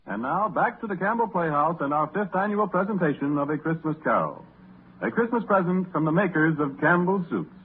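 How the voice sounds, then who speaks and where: A man's voice: on the high side, expressive, brisk. A man working as a radio announcer, speaking in formal 1930s broadcast style. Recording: noisy, muffled 1930s radio.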